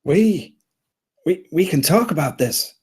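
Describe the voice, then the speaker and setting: Nervous would-be hero played by a man, very slow delivery, dark tone, sci-fi comedy. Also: fairly high pitch, animated, husky, full character voice. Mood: nervous pleading. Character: nervous, cowardly spaceship crewman trying to be heroic. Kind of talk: live comedy table read.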